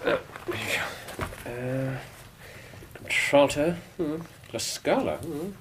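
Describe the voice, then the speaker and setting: An actor playing a shrewd, self-assured Victorian gentleman detective in a radio drama, with a crisp, dryly formal and commanding manner. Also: fairly high pitch, expressive, extremely slow, slight background noise. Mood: brisk, musing. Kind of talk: period radio drama acting.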